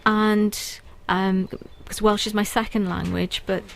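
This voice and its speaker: A woman's voice: medium pitch, level tone, measured pace, gravelly, slight background noise. A woman guest on a radio interview, talking earnestly and thoughtfully about poetry with frequent ums. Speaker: guest poet and writer.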